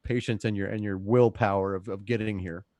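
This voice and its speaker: Male co-host, slightly low voice, emphatic colloquial livestream chat, very dry sound. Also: steady tone, brisk, a little mellow, no room sound. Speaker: co-host and actor.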